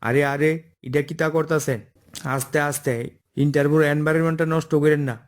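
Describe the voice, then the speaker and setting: A man's voice: on the high side, steady tone, quick pace, lightly acted. A man voicing a stern, by-the-book office boss in a comic cartoon, bossy and explanatory, with an eastern Bengali dialect. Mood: official, admonishing. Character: stern, by-the-book male office boss holding job interviews.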